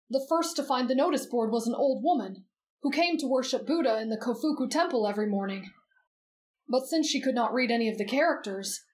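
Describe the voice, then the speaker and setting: A woman voicing a gentle, earnest, patient teenage boy in an anime bedtime-story roleplay. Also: fairly high pitch, close to an everyday voice.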